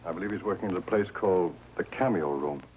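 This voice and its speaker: A man's voice: deep voice, fast talker, dull audio, close to an everyday voice. An actor playing a polite, troubled employer and husband in a 1950s crime drama, formal and hesitant in his talk. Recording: noisy old 1950s TV drama soundtrack.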